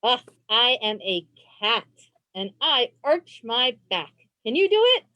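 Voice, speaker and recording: A woman librarian reading a children's picture book aloud in an expressive, encouraging way. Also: on the high side, unhurried, no echo. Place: indoors on a video call.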